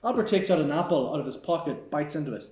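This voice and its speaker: Man narrating screenplay directions live; higher than average voice, roomy theatre acoustic. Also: animated, fast talker, a little mellow. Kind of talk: scripted narration at live table read. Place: theatre stage.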